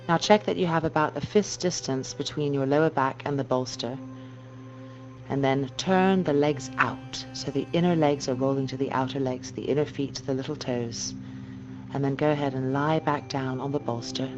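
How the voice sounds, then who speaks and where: A woman yoga teacher guiding a meditation in a slow, soft, calming and measured way. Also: very deep voice, over music. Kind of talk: guided meditation instruction. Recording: dull muffled recording with noise and hum.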